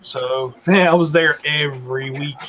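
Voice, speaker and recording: A man's voice: a little high, unhurried. Chatty man in his forties. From North Carolina. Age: 40s. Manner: rambling, storytelling with restarts. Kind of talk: casual chat. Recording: noisy background.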